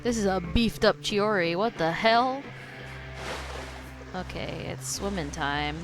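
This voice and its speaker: A woman's voice: mid-range voice, animated, slow. A woman streamer giving casual, slangy game commentary, often talking to herself as she plays. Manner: informal, slangy, self-talking. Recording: faint game music behind.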